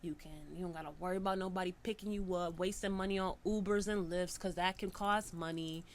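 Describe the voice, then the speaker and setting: A woman's voice: fairly low pitch, fairly quick, slight background noise. A woman in her thirties hosting a podcast, chatting casually and telling stories animatedly. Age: 30s. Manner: animated storyteller, swings from soft musing to loud excitement. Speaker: podcast host.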